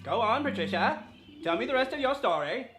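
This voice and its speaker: A woman's voice: on the high side, animated, brisk, fairly bright tone, soft background music. A woman playing a patronizing, reassuring husband in a parody of a 1940s radio melodrama. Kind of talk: old-time radio drama parody.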